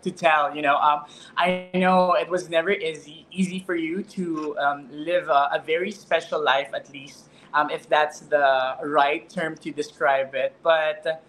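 A young man hosting an online interview over a video call, speaking haltingly with fillers and repeated words. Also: fairly high pitch, steady tone, a bit bright, low-quality recording. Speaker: host, interviewer. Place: indoor room. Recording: faint background noise.

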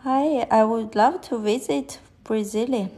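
A woman with a Chinese accent, whose first language is Chinese, talks haltingly while she draws fashion illustrations on a live stream. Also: on the high side, warm tone. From China. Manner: halting, thinking aloud while drawing. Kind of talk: live-stream drawing commentary. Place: at a desk, drawing on paper.

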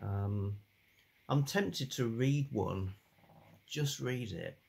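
Older man, calm rambling chat, gravelly, home study, webcam. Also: medium pitch, extremely slow. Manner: calm, rambling with many fillers. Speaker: book channel host. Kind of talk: casual chat about old books. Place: home study lined with bookshelves.

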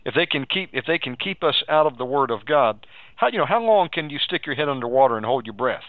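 A man's voice: mid-range voice, expressive, quick pace, dry recording. A man preaching and counselling live on the radio, urging and exhorting, repeating phrases and asking leading questions. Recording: tinny phone-like radio audio.

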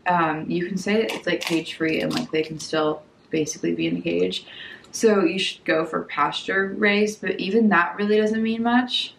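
A woman's voice: lowish voice, fairly even intonation, normal speed, creaky, a bit bright, echoey room, a little hiss or hum, rough recording. A young woman in her twenties chatting casually through a home cooking vlog, hungover, giggly and full of likes and ums. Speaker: cooking vlogger. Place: home kitchen and dining room, indoors.

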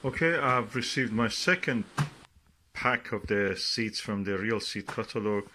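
Slow, low-voiced man on a noisy recording. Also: expressive, bright, forceful tone. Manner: halting, pausing between short phrases. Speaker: gardening vlogger. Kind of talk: casual unscripted commentary while showing items. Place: indoors at a work table. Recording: noisy home recording with clicks and rustling.

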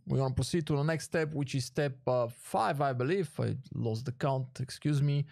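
A man with a mid-pitched voice walks listeners through a casual screen tutorial. He thinks aloud a little hesitantly as he goes, recorded close and dry with no room sound. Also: moderate pace, creaky, a little mellow, dry recording. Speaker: tutorial host.

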